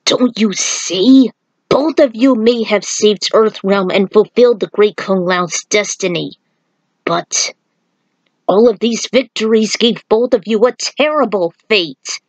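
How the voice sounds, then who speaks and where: A woman's voice: mid-range voice, takes it slowly, no echo, poor audio. A woman voicing a distraught warrior monk who warns of a curse in an urgent, grim, emphatic way for a story. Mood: grim, insistent.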